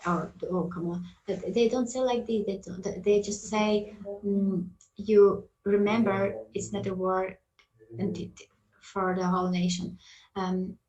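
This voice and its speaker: Hesitant Armenian-accented woman in an echoey room. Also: mid-range voice. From Artsakh. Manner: hesitant, apologetic, many fillers and restarts. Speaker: panelist. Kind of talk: online panel discussion.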